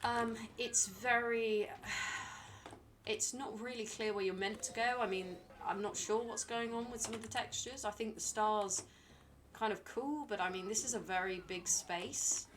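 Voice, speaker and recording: A woman's voice: a little high, measured pace, fairly bright tone, slight background noise. A British woman streaming a game, talking to herself and chatting to viewers in a dry, rambling way. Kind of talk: live gameplay commentary.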